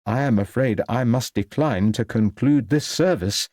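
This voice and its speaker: A man's voice: mid-range voice, normal speed, darkish tone, no room sound, clean studio sound, natural voice. Man voicing a flustered formal clergyman. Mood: protesting. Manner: hesitant, formal. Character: protesting, formal male village clergyman.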